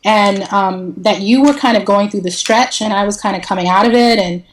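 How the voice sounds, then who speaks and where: A woman's voice: mid-range voice, brisk. A woman speaking as a podcast guest, telling stories casually in a joking, candid way. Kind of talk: casual conversational storytelling. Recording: roomy echo, faint noise.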